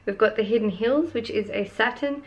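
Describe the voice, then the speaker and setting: A young woman with a hoarse, fading voice, chatting eagerly through her beauty favourites as a vlogger. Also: on the high side, normal speed, highs cut off. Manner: chatty and gushing, showing products to camera. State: losing her voice. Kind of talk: casual product review vlog.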